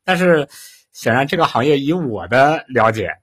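Husky, lively-pitched male co-host. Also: moderate pace. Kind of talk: casual unscripted interview questioning.

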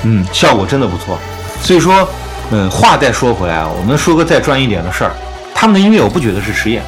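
A man talks quickly with a lively, swinging pitch, explaining his views as host of a casual music discussion. He is opinionated and conversational, with music playing behind him. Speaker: podcast host.

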